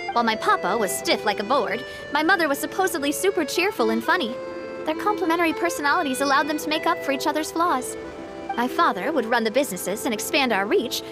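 Game heroine: high-pitched young female boss, warm, determined, wistful but bright, over music. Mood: warm, reminiscing. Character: young woman leader, warm, resolute, wistful yet upbeat. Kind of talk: video game character acting.

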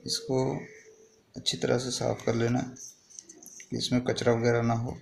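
A man's voice: medium pitch, monotone, unhurried, gravelly. A man explaining a hands-on repair step by step in a plain, improvised way, like a tutorial host. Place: indoor repair workbench. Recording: noisy home-made recording with clicks.

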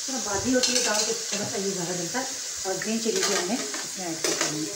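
A woman's voice: mid-range voice, fast talker. A middle-aged woman giving a casual home cooking tutorial, explaining each step with chatty asides. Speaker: cooking vlogger. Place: home kitchen at the stove. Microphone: phone camera held over the pot. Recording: echoey kitchen with cooking noise. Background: frying and sizzling.

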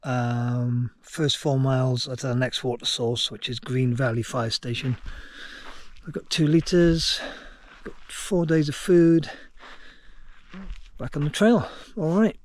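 A man's voice: medium pitch, slow. A middle-aged man chatting casually to his camera as a hiking vlogger, recorded outdoors. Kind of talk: casual video diary chat. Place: outdoors on a trail and at a campsite. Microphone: action camera at arm's length or close by.

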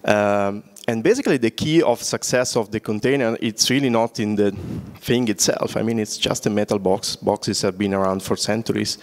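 A man's voice: lowish voice, moderate pace, hardly any pauses. A man with an Italian accent presenting at a conference in a large hall, joking and quizzing the audience through a handheld microphone. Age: older. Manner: joking, engages audience with questions. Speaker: conference speaker. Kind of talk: conference talk. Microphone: handheld mic.

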